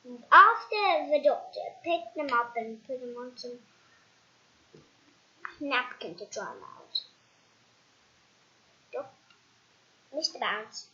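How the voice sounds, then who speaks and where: A child reading a storybook aloud in an animated way, haltingly, with restarts and dramatic emphasis. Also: sits high, lo-fi sound. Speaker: storybook reader.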